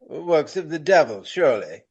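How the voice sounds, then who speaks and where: An actor playing a vain, fussy Regency gentleman in a radio play, speaking in florid, formal, hesitant phrases. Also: a little high, big pitch swings, normal speed, breathy edge, muffled recording, heavily acted. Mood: grandiose. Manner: pompous, florid, hesitant with formal archaic speech.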